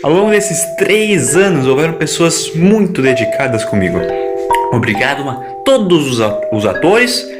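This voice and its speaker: A Brazilian man narrates an audio drama from a prepared script. His voice sits a little higher than most men's. Prominent music plays behind him as he reads. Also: very animated, normal speed.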